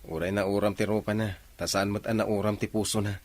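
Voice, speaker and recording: A man's voice: on the low side, flat delivery, fairly quick. An actor with a Filipino accent playing an easygoing young groom-to-be bantering with his buddy in a radio drama. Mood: earnest.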